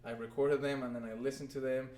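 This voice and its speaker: A man's voice: medium pitch, level tone, quick pace, darkish tone. Upbeat chatty man, roomy sound. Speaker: voice actor guest. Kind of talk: casual interview chat. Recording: roomy stream audio.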